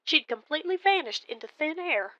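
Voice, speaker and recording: A woman tells a scripted ghost story with deliberate, expressive delivery. Her voice sits a little high. The sound is very dry and somewhat dull, like a lo-fi close recording. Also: dry recording. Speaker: storyteller calling in to a horror radio show. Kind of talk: dramatic scripted first-person ghost story narration.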